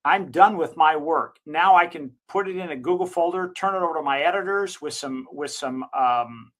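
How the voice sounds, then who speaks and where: A man who owns a digital marketing agency, giving an enthusiastic, rambling software walkthrough and correcting himself as he goes. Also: normal speed. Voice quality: slightly higher-pitched voice. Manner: enthusiastic, recommending. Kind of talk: casual screen-share software walkthrough.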